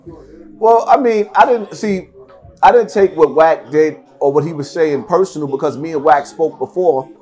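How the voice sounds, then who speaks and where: A man's voice: fairly high pitch, moderate pace, a little mellow, faint music behind. A middle-aged man giving blunt, emphatic interview answers, repeating phrases for stress. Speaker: podcast guest. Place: seated at table before branded backdrop, indoors.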